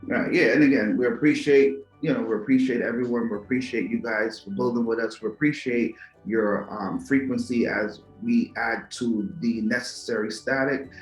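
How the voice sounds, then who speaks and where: A man's voice: mid-range voice, steady tone, normal speed, hardly any pauses, soft background music. Preachy man from Trinidad and Tobago, echoey room. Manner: preachy, rhetorical questions, repeats words. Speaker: podcast host. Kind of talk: philosophical monologue to viewers.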